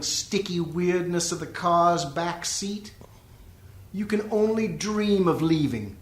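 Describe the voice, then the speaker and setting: A man plays a menacing, cultured killer in a radio comedy parody. He taunts in a high-pitched voice, taking his time over each condescending phrase, in an echoey room. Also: a bit bright, heavily acted. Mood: taunting. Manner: taunting, deliberate.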